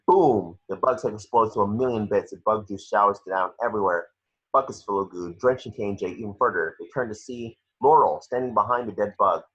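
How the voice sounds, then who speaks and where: Man, lowish pitch, rough-edged tone, scripted action narration, amateur table read, dull recording. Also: a bit bright. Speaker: stage direction narrator.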